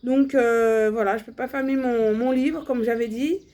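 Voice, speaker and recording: A woman's voice: a little high, fairly even intonation, moderate pace, warm tone. A young woman talking casually and bluntly to her viewers, with many fillers and restarts. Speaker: vlogger. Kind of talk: casual personal vlog monologue.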